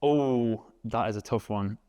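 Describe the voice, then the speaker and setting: A man, chatting casually as a guest, relaxed and rambling with lots of fillers. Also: fairly low pitch, a little slow, smooth. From England. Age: 20s. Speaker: guest, vegan cook. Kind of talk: casual interview chat.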